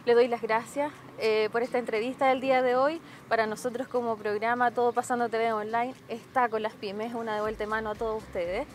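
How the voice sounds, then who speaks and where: A woman's voice: on the high side, steady tone, a little fast, hardly any pauses, a little hiss or hum. A young woman hosting an outdoor interview for local web TV, upbeat and promotional, speaking into a handheld mic. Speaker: interviewer and host. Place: outdoor town plaza.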